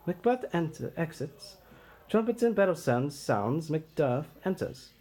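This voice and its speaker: A man reads a play aloud in a theatrical way. His voice sits fairly high and moves through lively swings of pitch as he handles the script and its stage directions. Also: measured pace. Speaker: performer reading all parts of a play.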